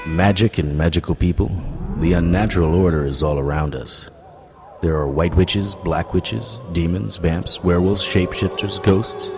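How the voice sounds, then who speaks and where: A man playing a deep-voiced weary occult detective. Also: in a large hall. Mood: brooding. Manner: terse, serious. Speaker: narrator.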